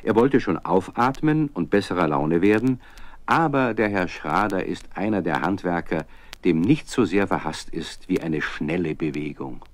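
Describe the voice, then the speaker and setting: A man's voice: low-pitched, expressive, normal speed, no room sound. A man narrating a children's radio play as a storyteller, with scripted narration.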